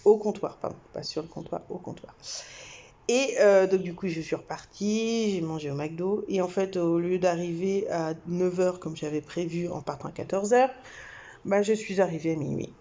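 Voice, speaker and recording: Young woman from France, low-ish warm voice, rambling chatty storytelling, dull lo-fi recording. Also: normal speed. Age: young adult. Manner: chatty, many fillers and laughs, animated swings. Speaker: vlogger. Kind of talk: casual storytelling to camera.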